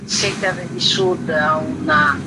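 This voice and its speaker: A woman speaking as an interview guest, a curator commenting reflectively, halting and stressing her adjectives with repetition. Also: fairly low pitch, sing-song, gravelly. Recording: very noisy line with background ambience.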